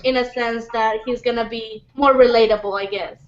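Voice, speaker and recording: Casting: woman with a high voice, chatting as a film panelist, halting delivery, dull roomy sound. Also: fairly even intonation. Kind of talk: casual group discussion. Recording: dull, echoey room recording.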